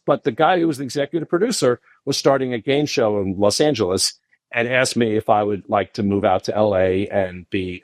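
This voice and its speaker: A middle-aged man, a podcast guest telling long animated anecdotes full of restarts. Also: no echo. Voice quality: deep voice. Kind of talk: casual storytelling interview.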